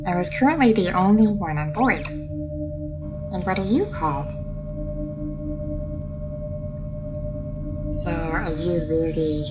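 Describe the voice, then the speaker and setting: A woman playing a friendly, curious alien starship captain in an audio roleplay, soothing and a little hesitant as she addresses the listener. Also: fairly low pitch. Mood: curious, reassuring.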